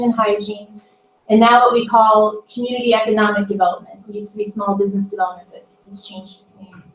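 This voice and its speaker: A woman with a fairly high voice gives an informational briefing to incoming volunteers. Her delivery is earnest and encouraging, with deliberate explanations. The sound is clearly echoey, as if she sits in a room some way from the microphone. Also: far from the mic. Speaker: Peace Corps program staff leader briefing incoming volunteers. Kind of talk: informational briefing on a conference call. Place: indoor room on a conference call.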